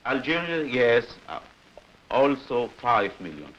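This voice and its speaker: A man giving interview testimony in halting, broken English fragments, on dull old newsreel sound. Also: medium pitch, sing-song. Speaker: anonymous interviewee, former militant official.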